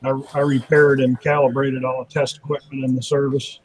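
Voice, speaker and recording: A man in his fifties chatting casually as a guest, relaxed and slightly stammering. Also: mid-range voice, brisk, slightly rough, rough recording. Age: 50s. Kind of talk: casual group chat.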